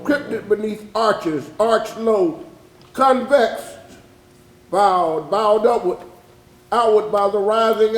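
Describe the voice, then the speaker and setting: A man performing an anguished witness for enslaved captives, reciting dramatic verse in an intense, incantatory way with drawn-out emphatic words. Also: sits high. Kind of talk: dramatic spoken-word poetry recitation, readers theatre. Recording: echoey room on stage.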